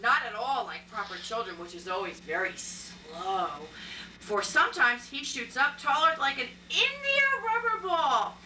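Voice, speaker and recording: Woman doing a little girl puppet, plaintive and bright, kinda far off w/ a bit of noise. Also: on the high side, full character voice. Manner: childlike, plaintive. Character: sad, eager little schoolgirl puppet, third grader. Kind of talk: amateur puppet show acting. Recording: echoey, distant live recording with background noise.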